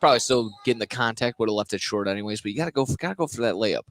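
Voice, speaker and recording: A man's voice: fairly low pitch, animated, very fast. A man doing live play-by-play radio commentary of a basketball game, often repeating and restarting phrases as he follows the action. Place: indoor gymnasium during a basketball game. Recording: noisy live gym broadcast. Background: loud gym ambience, bouncing basketball.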